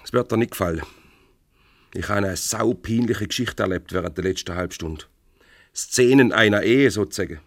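An actor playing a stern, paternal older boss in a radio play, reproachful and patronising, with a Swiss German accent. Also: male voice, on the low side, moderate pace, no echo, studio quality, close to an everyday voice. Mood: brooding, irritated.

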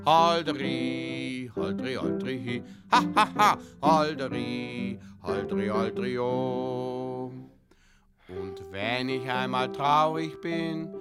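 A man's voice: medium pitch, takes it slowly, lightly acted. A man playing a tipsy, stubborn fellow singing a drinking song in an audio musical, with music behind. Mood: merry, stubborn.

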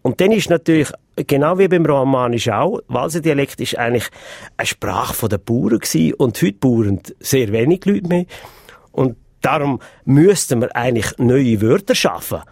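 A man's voice: mid-range voice, expressive, moderate pace, gravelly, darkish tone, dry recording. Hesitant Swiss German man, dry close mic. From Graubünden, Switzerland. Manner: hesitant, searching for words with restarts. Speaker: association president interviewed. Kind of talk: radio interview answers.

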